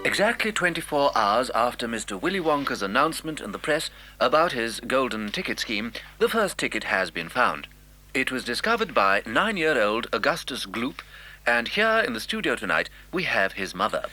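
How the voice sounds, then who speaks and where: An actor playing a formal, excited radio newsreader and studio interviewer in an audio drama, announcing crisply. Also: male voice, medium pitch, normal speed, a little hiss or hum, poor audio. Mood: formal, sensational. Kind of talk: mock news broadcast in audio drama.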